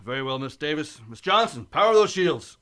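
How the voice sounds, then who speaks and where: Male starship captain, acted by a man for sci-fi radio drama: forceful, slightly high voice, steady, authoritative, clipped orders. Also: a little fast. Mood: tense command. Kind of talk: sci-fi radio play acting.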